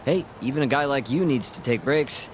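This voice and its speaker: An actor playing a cocky young sidekick in a game cutscene, breezy, informal and teasing. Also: male voice, medium pitch, brisk, slight background noise, close to an everyday voice. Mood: easygoing concern. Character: cocky young male sidekick, taunting, casual, loyal. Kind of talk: dramatic video game cutscene acting.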